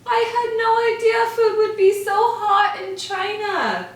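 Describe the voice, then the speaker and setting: Woman doing a bright eager little mouse. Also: extremely high pitch, a little slow, very echoey, recorded from a distance, voice pushed up. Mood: surprised. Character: small eager male mouse searching for home.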